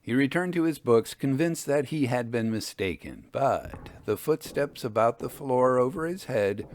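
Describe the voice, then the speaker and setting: A man hosting a storytelling show, reading scripted narration and old ghost tales in a measured, deliberate way. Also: medium pitch, expressive, a little hiss or hum. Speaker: podcast host and storyteller.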